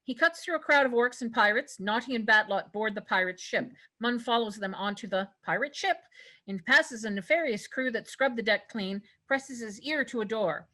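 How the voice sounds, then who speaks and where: Bright-voiced woman narrating a script. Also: a little high, normal speed. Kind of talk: scripted narration of stage directions.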